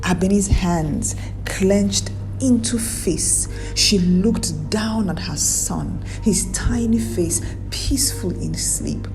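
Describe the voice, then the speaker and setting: A woman narrating a scripted folktale in a measured, dramatic storytelling manner. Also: medium pitch, over music, rough recording.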